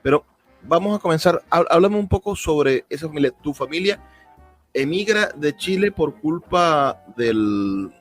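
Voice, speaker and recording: A man with a Venezuelan accent hosting a radio interview in a semi-formal, deliberate, wordy way. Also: fairly high pitch, lively intonation, soft background music.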